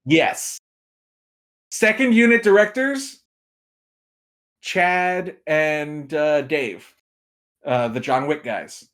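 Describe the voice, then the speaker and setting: A man's voice: a little high, extremely slow, pauses often, fairly bright tone. A man chatting casually and giving emphatic opinions as a podcast guest, repeating points for effect. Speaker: podcast guest, film and game pundit.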